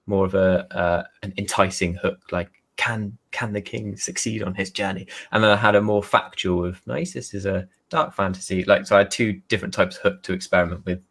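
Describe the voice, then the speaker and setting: Guy from somerset, lowish voice, toned down farmer accent, self-deprecating, casual interview chat. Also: steady tone, brisk, few pauses, fairly bright tone. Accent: softened West Country English, Somerset. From Somerset, England. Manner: giggly, self-deprecating, makes an effort to sound well spoken. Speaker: guest author.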